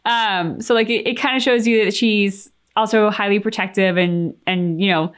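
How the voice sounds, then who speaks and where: A woman's voice: medium pitch, fairly even intonation, normal speed, smooth. A woman co-hosting a game reaction channel, talking casually and reflectively, with plenty of likes and you-knows as she thinks aloud. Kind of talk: casual discussion, giving opinions.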